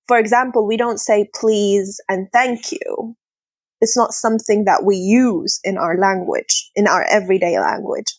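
A woman whose first language is Arabic, speaking earnestly as an interview guest, sometimes pausing to search for words. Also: mid-range voice, husky, dry recording. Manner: earnest, searching for words, laughs between thoughts. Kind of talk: conversational interview.

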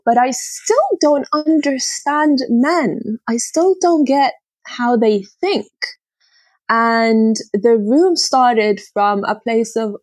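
Woman, Arabic first language, slightly high voice, slow measured delivery, dry close sound. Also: dry recording. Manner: earnest, searching for words, laughs between thoughts. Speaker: guest. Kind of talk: conversational interview.

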